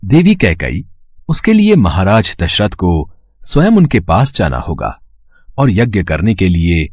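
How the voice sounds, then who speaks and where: A man playing a solemn royal sage and guru in a devotional cartoon, speaking formally with a husky voice. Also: low-pitched, huge pitch swings, measured pace, dry recording, studio quality, full character voice. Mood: solemn, reassuring. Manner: formal, declamatory. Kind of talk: Hindi devotional cartoon dub.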